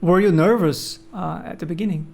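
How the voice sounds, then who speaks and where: A man interviewing a guest, asking brief questions and offering soft backchannels. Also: high-pitched, fairly quick, gravelly.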